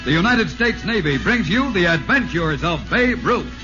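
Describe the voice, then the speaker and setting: A man's voice: high voice, very animated, normal speed. A man announcing a show opening in 1940s radio style. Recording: dull old radio, loud music behind.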